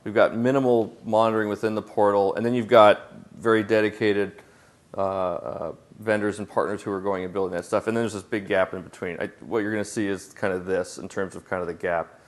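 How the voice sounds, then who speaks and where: A man's voice: on the low side, steady tone, a little fast. A man on a conference panel answering audience questions in a casual, self-deprecating way, with frequent fillers. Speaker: product manager presenting on a panel.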